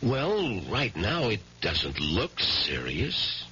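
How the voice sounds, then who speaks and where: An American actor playing a matter-of-fact, noncommittal family doctor in a 1970s radio drama. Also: male voice, fairly low pitch, huge pitch swings, extremely slow, sharp tone, heavily acted. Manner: clinical, noncommittal. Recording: dull, hissy vintage radio recording.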